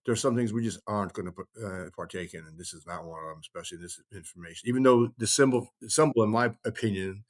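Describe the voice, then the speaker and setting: A man talking casually as he tells stories and explains things, rambling and halting, often trailing off mid-thought. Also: fairly low pitch. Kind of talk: casual conversational storytelling and explaining.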